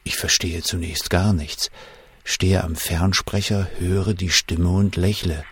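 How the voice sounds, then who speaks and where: An actor playing a shaken German official who recalls a wartime night in measured, suspenseful first-person audio drama narration. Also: male voice, sits low, steady tone, fairly bright tone, husky, no echo, heavily acted. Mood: tense, introspective.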